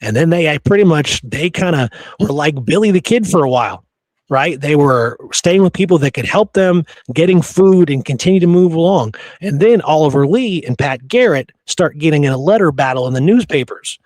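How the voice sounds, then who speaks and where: A man's voice: fairly high pitch, animated, normal speed, a little mellow, no echo. A man hosting a history podcast, telling stories in an informal, slangy, conversational way. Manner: informal, slangy, says dude and you know. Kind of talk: casual conversational history storytelling.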